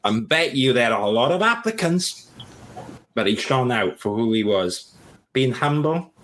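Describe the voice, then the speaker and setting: A man hosting a webinar, giving casual, energetic job-search advice and often repeating himself. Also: medium pitch, measured pace, low-quality recording. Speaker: webinar host. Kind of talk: motivational talk.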